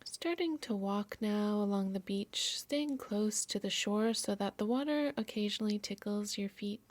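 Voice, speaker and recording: A woman yoga nidra instructor softly narrating a guided meditation in a soothing, measured, breathy voice. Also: mid-range voice, dry recording. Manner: soft, soothing, measured delivery.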